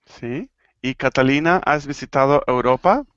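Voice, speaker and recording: A man from Argentina teaching an online Spanish class, playful and clear, slowing down to model phrases. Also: mid-range voice, fairly bright tone, dry recording, muffled recording. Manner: playful, laughing, slow and clear when teaching. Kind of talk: live online language class.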